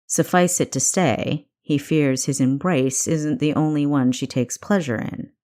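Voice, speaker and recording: Casting: a woman playing a stern male spymaster for a fantasy audiobook, low-voiced, clipped and commanding. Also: fairly even intonation, a little slow, mellow. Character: gruff older male spymaster, stern and teasing.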